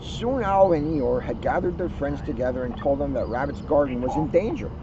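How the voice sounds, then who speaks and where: A man's voice: a little high, expressive, a little fast. A man narrating a children's storybook as a reader. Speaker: police officer reading a children's book. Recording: noisy outdoor recording, slightly muffled.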